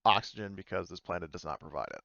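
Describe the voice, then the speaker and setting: A man's voice: deep voice, creaky, bright, forceful tone, dry recording, lo-fi sound. A man speaking casually as game master of an online tabletop game, hesitant and often correcting himself as he narrates. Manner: hesitant, halting, self-correcting. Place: online voice call.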